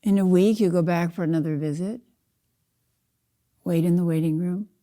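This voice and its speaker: An older woman, a meditation teacher, leading a guided meditation in a measured, deliberate way, in short phrases with pauses. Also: on the low side, steady tone, warm tone. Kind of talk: slow guided meditation narration.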